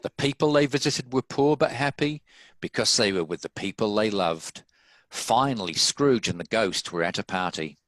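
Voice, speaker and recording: Male storyteller, lowish voice with a forceful bright edge, dry sound, scripted narration. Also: animated, moderate pace, no echo.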